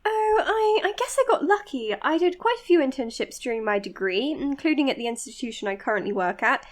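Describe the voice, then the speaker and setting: A woman playing an earnest, modest young psychiatrist in an audio drama, speaking in a measured, precise, slightly hesitant way. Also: high voice, lively intonation. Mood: polite, modest. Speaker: doctor being interviewed on a podcast. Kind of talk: audio drama acting, natural interview and voice notes.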